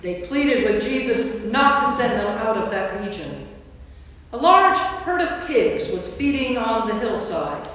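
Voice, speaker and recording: A woman's voice: on the high side, sing-song, measured pace, fairly bright tone. A woman narrating a staged scripture reading in a church, telling the story from a script. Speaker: narrator, priest. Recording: distant mic, very echoey church hall.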